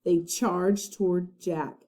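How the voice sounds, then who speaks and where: A woman reading a children's storybook aloud like a teacher, with expressive, dramatic narration. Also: lowish voice, takes it slowly.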